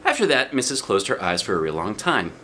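A middle-aged man giving an expressive, playful read-aloud of a children's book. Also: lowish voice, a little fast. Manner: theatrical, playful emphasis. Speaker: storyteller reading a children's book. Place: small living room, indoors. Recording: faint background room noise.

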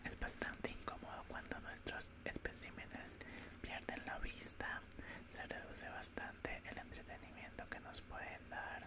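A man plays a calm alien examiner in an ASMR medical roleplay, speaking in a breathy whisper right at the microphone. His delivery is very slow and soothing, with short phrases gently repeated in a clinical manner. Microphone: close desk microphone.

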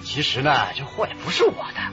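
An actor playing a wily, boastful go-between peddling smuggled goods, sly and chatty, in a Chinese radio drama. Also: male voice, mid-range voice, wildly swooping pitch, fairly quick. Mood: sly, wary. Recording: dull radio drama audio with background music.

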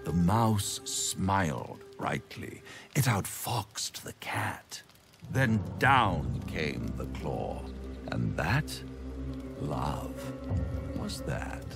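A suave, menacing game devil speaks in grand, silky phrases. The voice is extremely deep, swings theatrically in pitch and takes its time, savouring each mocking word. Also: male voice, very animated, vocal fry, music in the background, heavily acted. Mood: sly, charming. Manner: grandiloquent, silky, drawn-out delivery. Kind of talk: video game dramatic acting.

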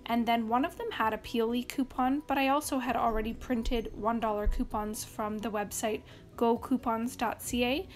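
Canadian lady doing a grocery haul voiceover, high voice, upbeat, quick price rundowns, little bit of music behind. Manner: brisk, upbeat listing of prices and savings. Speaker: couponing channel host. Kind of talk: voice-over grocery haul walkthrough. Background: faint background music.

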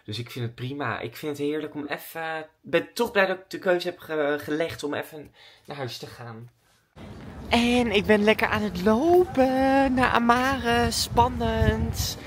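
A woman's voice: lowish voice, measured pace, slight background noise. A woman chatting casually and informally to her vlog audience, thinking aloud as she goes. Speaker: daily vlogger and dancer.

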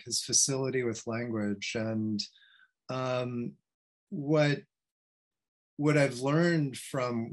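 A middle-aged man, an academic guest, speaks at medium pitch on a video call. He answers in a hesitant, roundabout way, often saying "you know" as he thinks. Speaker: guest speaker, history professor. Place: attic study with bookshelves, indoors. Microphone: video call computer mic.

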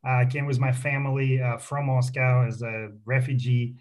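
A man's voice: medium pitch, little pitch movement, moderate pace. A middle-aged man from Russia with a Russian accent, a company founder chatting on a radio show, with many fillers and restarts. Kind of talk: casual radio interview. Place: radio studio.

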